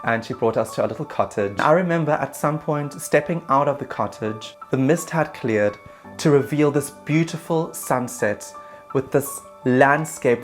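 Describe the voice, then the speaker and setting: A man narrating his own travel story in a casual, self-mocking voice-over. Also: mid-range voice.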